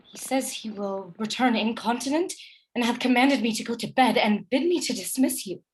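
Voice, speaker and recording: A woman playing a gentle, melancholy noblewoman and obedient wife in a Shakespearean radio play, with a wistful manner. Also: a little high, normal speed, breathy edge, low-quality recording. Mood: dutiful, subdued.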